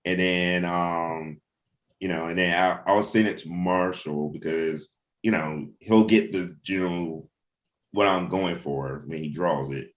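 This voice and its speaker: A man with a very low, deep voice speaks in a casual, informal discussion as a writer. He rambles and thinks out loud, circling back over his phrases as he goes. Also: fairly even intonation, unhurried. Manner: rambling, thinks aloud with frequent repeats. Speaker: comic book writer.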